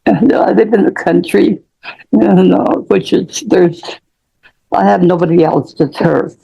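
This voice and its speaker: A woman's voice: fairly low pitch, unhurried, creaky, slightly rough, highs cut off. An older woman giving an emotional, rambling plea as a witness in a courtroom. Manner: rambling, pleading. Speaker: witness, defendant's mother.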